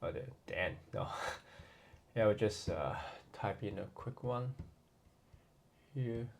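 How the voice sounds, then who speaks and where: A man from Hong Kong with a Hong Kong accent, giving a relaxed, chatty online lesson with many fillers. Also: fairly low pitch, slow, a little hiss or hum. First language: Cantonese. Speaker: language tutor.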